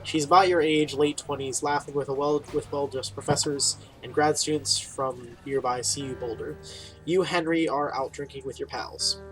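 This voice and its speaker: A man's voice: a little high, steady tone, normal speed, a bit bright, rough recording. A man giving casual, rambling playthrough commentary on a video game, reading dialogue aloud with wry, informal asides. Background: game music.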